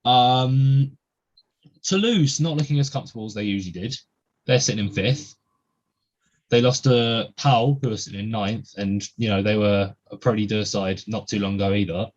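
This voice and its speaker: A man's voice: fairly low pitch, normal speed. Young male host, chatty and filler-heavy; muffled lo-fi recording. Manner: chatty, many ums and uhs. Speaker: podcast host. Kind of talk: casual podcast chat.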